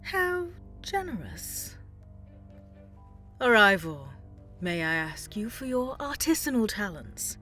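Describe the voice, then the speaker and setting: Sadistic alien warlord, theatrical and menacing, drawled over background music. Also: female voice, medium pitch, big pitch swings, sharp tone, heavily acted. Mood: mock gracious. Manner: languid, purring, drawn-out delivery with menacing relish. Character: sadistic, theatrical dread Archon woman, alien villain. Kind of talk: audio drama acting.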